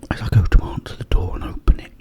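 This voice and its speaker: A British man whispering a casual, rambling ASMR story up close to the microphone, with pauses. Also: dull audio. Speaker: ASMR video creator.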